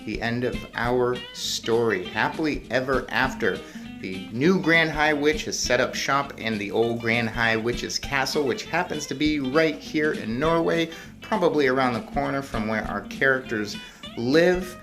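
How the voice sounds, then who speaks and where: A woman's voice: rumbling low, a little slow, fairly bright tone, faint music behind. A woman reading a story aloud as narrator and adding informal commentary on it. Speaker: storybook reader.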